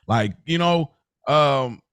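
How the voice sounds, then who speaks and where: A man's voice: medium pitch, lively intonation, takes it slowly, a bit bright, clean tone, dry recording. A man co-hosting a podcast in casual, slangy discussion, conceding points before he pushes back. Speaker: podcast co-host.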